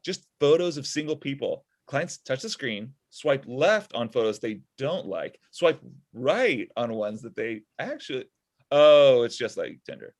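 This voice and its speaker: A man's voice: medium pitch, very animated, unhurried, darkish tone. A man in his thirties playing a sardonic, frustrated robot inventor in a comedy table read over a video call from home. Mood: pleased. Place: small room at home.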